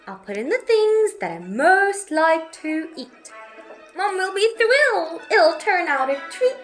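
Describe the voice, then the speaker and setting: A young, scatterbrained storybook witch girl voiced by a woman, very high and swooping in pitch. She is eager and exclamatory, hesitating and fretting over her words. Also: sing-song, measured pace, fairly bright tone, faint music behind, full character voice.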